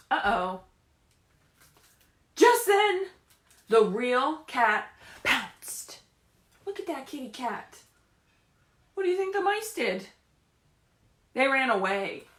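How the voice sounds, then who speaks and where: A woman reading and chatting to young children as a story time leader, animated and often prompting them with questions. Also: high voice, slow pace, long pauses, echoey room. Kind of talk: read-aloud picture book storytelling for young children. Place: indoors at home.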